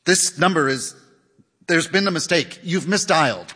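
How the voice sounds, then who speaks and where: Man reading a hesitant, mild-mannered technician, husky. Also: fairly high pitch, normal speed, sharp tone, in a large hall. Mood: restrained, flustered. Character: hesitant, mild-mannered male technician, exasperated but restrained.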